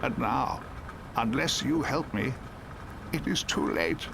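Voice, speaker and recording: A man voicing a dying old councillor in a bedtime story, weak, halting and labored, full of guilt and pleading. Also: mid-range voice. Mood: regretful, urgent. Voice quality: breathy, labored. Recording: steady rain noise behind the voice.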